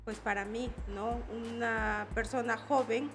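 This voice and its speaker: A Salvadoran woman in her forties, an activist guest in an interview, speaking in a reflective, measured way with many pauses. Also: on the high side, steady tone, creaky, over music. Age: 40s. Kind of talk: personal testimony in interview.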